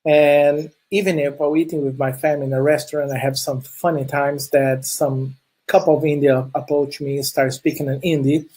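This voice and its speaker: A man's voice: a little high, level tone, normal speed, darkish tone, breathy edge. A middle-aged man from Chile whose first language is Portuguese, speaking with a Brazilian Portuguese accent. He gives a friendly conference presentation with many filler sounds and restarts. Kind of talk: presentation talk.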